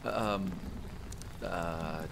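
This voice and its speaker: An actor playing a friendly, talkative, excitable young spearman in an immersive fantasy roleplay audio drama, with an eager, bantering manner. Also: drawn-out words, gravelly, exaggerated voice. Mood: friendly, chatty. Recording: constant rain and rumble behind voice. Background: rain, campfire ambience, rolling thunder.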